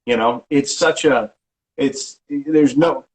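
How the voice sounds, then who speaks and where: A middle-aged man, a real estate agent, answering questions in an ordinary mid-range voice. He talks haltingly, often restarting a phrase and filling the gaps with ums. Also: medium pitch, low-quality recording. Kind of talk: casual interview answers.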